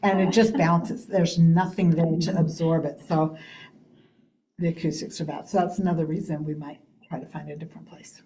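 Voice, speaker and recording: Loud chatty woman giving a meeting update. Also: deep voice, little pitch movement, moderate pace, echoey room, a little hiss or hum, poor audio. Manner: loud, chatty, laughs often. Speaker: committee member organizing senior events. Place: city hall meeting room.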